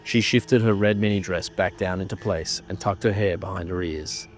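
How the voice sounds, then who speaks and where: A man narrating a scripted horror story in a measured, dramatic manner. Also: low voice, faint music behind.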